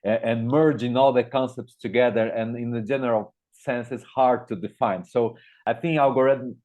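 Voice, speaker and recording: A man's voice: medium pitch, fairly even intonation, normal speed, darkish tone. A middle-aged Brazilian man with a Portuguese-speaker's accent, giving an informal conference talk and hesitantly repeating words as he thinks. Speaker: guest speaker, media educator. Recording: thin, phone-like online call audio.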